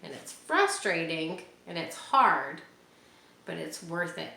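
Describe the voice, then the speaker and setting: A woman's voice: lowish voice, sing-song, takes it slowly, creaky. Woman reading a picture book aloud. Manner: gentle, adds chatty asides for kids. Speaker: children's book reader. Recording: echoey room with faint hiss.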